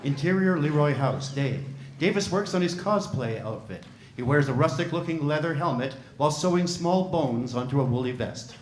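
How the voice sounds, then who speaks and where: A man reads out stage directions in a plain, matter-of-fact way. His voice sits slightly high. The sound is roomy, with a faint hiss. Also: animated, normal speed. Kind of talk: reading script directions.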